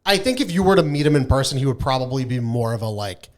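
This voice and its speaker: Man, medium pitch, podcast co-host, informal, emphatic, sweary, casual gaming chat. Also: animated, fast talker.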